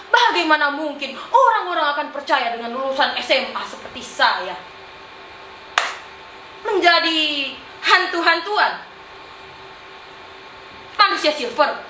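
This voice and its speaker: A cocky mocking artist in a stage monologue, slow drawn-out theatrical delivery, echoey room. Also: female voice, high-pitched. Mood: dismissive. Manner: exaggerated, taunting, drawn-out words with cackles and singsong. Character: boastful, mocking self-styled artist, scornful of intellectuals. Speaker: student stage actor in a monologue competition. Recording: roomy echo, faint background noise.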